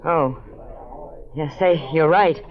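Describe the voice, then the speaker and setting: A woman's voice: low-pitched, slow pace. An American woman acting a brash, vengeful middle-aged ex-wife, blunt and feisty, in a 1940s radio detective play. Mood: mollified. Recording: dull vintage radio recording.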